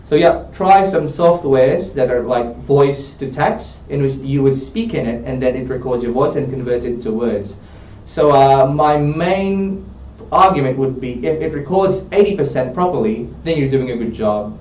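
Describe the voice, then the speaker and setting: A man's voice: medium pitch, normal speed, hardly any pauses, a little mellow. Man tutoring from a distance, roomy. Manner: explanatory, often checks in with "okay?". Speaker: English tutor. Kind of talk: coaching a student in a studio chat. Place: studio room. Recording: roomy, distant, dull studio sound.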